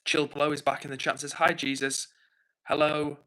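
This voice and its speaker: English guy reading chat on his stream, laughs a lot, kinda self-deprecating, voice a bit on the high side. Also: flat delivery, moderate pace, pushed and bright, low-quality recording. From England. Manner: chatty, self-deprecating, apologetic, laughs often. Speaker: livestream host. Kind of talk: casual live chat reading comments.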